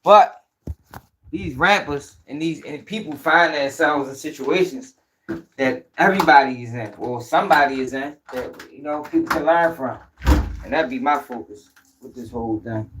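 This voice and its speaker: A man's voice: medium pitch, normal speed, background noise. A man with a Baltimore accent hosting a casual livestream, talking to viewers in loose, profane street slang. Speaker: host of a commentary channel.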